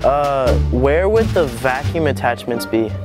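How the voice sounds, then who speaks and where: A young man in casual, slangy, deadpan chat, recorded in a large store as a prank video host. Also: medium pitch, measured pace, over music. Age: young adult. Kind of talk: casual prank chat, staged phone calls. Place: large busy retail store.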